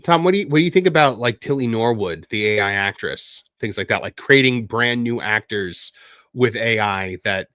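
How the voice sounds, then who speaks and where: A man hosts a podcast panel through a dry, close microphone. He puts his questions to the guests in a lively, raised voice. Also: fairly low pitch, moderate pace, dry recording. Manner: animated, loud questions. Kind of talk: casual panel chat.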